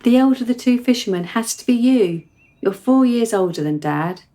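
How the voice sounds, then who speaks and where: A woman's voice: on the high side, animated, moderate pace, mellow, close to an everyday voice. A woman playing a precocious, forthright young girl in a radio play, articulate and insistent in manner. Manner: articulate, formal wording, insistent.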